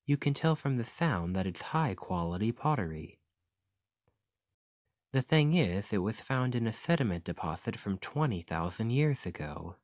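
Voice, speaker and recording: ASMR roleplay: courteous, fascinated scholar-archaeologist, played by a man, fairly low pitch, articulate and thoughtful, dry recording. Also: no echo. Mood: musing, fascinated. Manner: formal, articulate, musing delivery.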